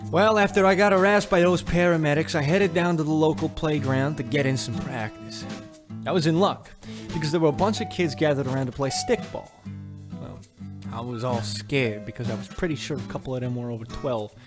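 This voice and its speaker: High-pitched male actor as a dim, boastful ballplayer, earnest and folksy, old radio comedy, faint music behind. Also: lightly acted. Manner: earnest folksy storytelling, halting when bluffing. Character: hapless, boastful dim ballplayer posing as a Cuban.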